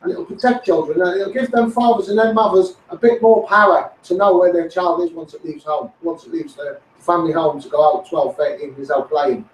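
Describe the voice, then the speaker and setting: Male, Scouse, high voice. Insistent amateur campaigner delivering an impassioned monologue, recorded with heavy room echo. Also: a little fast, hardly any pauses, a little hiss or hum. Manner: insistent, repetitive, directly addressing politicians. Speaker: campaigning video host. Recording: echoey, distant from the microphone.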